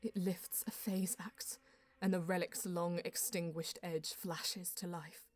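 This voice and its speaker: A woman's voice: fairly low pitch, flat delivery, takes it slowly, dry recording. A woman playing a solemn elf seer, narrating an audio drama in intense, poetic first person over music. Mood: urgent, solemn. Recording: music behind the voice.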